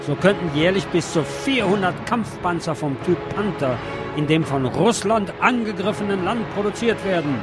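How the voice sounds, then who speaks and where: A man narrating a scripted news report as a reader, with loud music behind him. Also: sits high, lively intonation, moderate pace.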